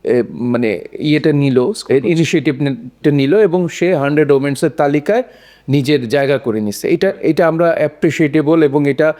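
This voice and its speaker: Higher-voiced man in panel discussion. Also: moderate pace, a little mellow. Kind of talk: conversational discussion.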